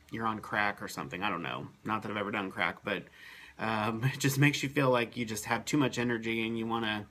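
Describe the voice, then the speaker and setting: Man giving a plain, halting health update. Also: on the low side, a bit bright, a little hiss or hum. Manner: plain, matter-of-fact, with many ums and uhs. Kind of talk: casual first-person account.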